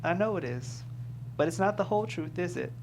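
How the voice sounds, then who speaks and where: A man's voice: high voice, lively intonation, a little fast, vocal fry, darkish tone, a little hiss or hum, low-quality recording. A man playing a dry, modest trial consultant, candid and supportive, in a screenplay table read. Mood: candid, encouraging.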